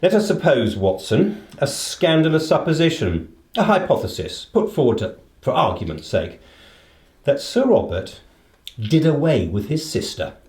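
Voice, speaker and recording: A man playing a shrewd, commanding British detective in a radio play, speaking deliberately and with theatrical authority. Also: fairly high pitch, sing-song, darkish tone, reverberant. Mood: musing, deductive. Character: shrewd, commanding male detective, coolly reasoning.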